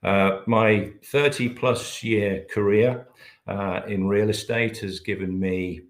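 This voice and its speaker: A middle-aged British man with a very low, deep voice leads a business webinar. He talks hesitantly, with frequent "uh"s. His voice sounds echoey, as if he is in a bare room. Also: steady tone, takes it slowly. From Britain. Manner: hesitant, many filler sounds. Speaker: webinar presenter, managing director.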